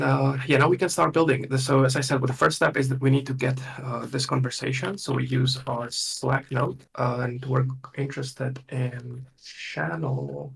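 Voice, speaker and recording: Male workshop instructor, very creaky and rough-edged, thinking aloud through a live demo in halting, half-murmured phrases. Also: medium pitch.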